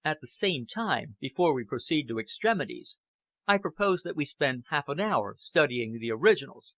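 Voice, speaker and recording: A man voicing an earnest, cautious, aristocratic gentleman in an audiobook, speaking formally and reasonably. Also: a little high, expressive, normal speed, no room sound. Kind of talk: audiobook character acting.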